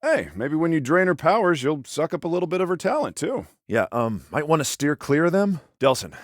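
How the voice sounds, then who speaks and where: A man's voice: mid-range voice, dry recording, natural voice. Sardonic big-brother cop played by a man, dry recording. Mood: wry, brotherly. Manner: sardonic, exasperated, conversational with hesitations. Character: exasperated, protective older-brother police officer, sarcastic and by-the-book. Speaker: police officer character in a video game. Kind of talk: video game voice acting, one side of phone conversations.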